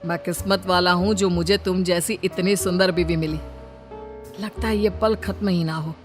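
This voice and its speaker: A woman's voice: on the low side, steady tone, moderate pace, faint music behind, close to an everyday voice. A woman voicing a young husband in a dramatized moral story, by turns loving and harsh. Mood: tender.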